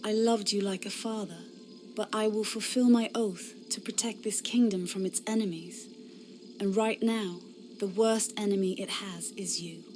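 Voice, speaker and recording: A woman's voice: mid-range voice, steady tone, moderate pace, over music, lightly acted. A woman playing an earnest, idealistic young inquisitor knight in a fantasy game cutscene, impassioned and defiant. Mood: hurt, defiant.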